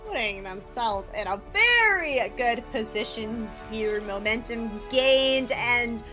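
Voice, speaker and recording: A woman's voice: on the high side, expressive, slow, over music. A woman giving analytical esports commentary in a conversational, explanatory way.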